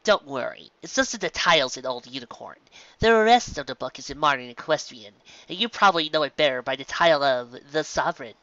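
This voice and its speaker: A performer as a bright, self-assured unicorn mare. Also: mid-range voice, expressive, a little fast, no room sound, dull audio. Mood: explaining. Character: proud, showy unicorn magician mare.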